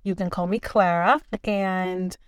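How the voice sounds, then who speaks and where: Smooth-voiced woman coach from the Dominican Republic. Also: lowish voice, steady tone, measured pace, no echo, studio quality. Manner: enthusiastic, laughs often, lists things. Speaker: guest, leadership coach. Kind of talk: casual interview chat.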